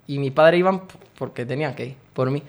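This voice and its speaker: A man's voice: mid-range voice, fast. A young man in his late teens, earnestly and devoutly describing his faith in a radio interview. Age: young adult. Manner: earnest, devout, talks at length. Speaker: guest, brotherhood member.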